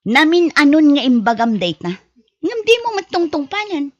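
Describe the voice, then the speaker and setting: A woman plays a worried, scolding woman of a Filipino family in an amateur audio drama. Her voice is fairly high, with a Filipino accent. The sound is very dry and somewhat dull. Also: big pitch swings, moderate pace, dry recording. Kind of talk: amateur Ilocano radio-style drama acting.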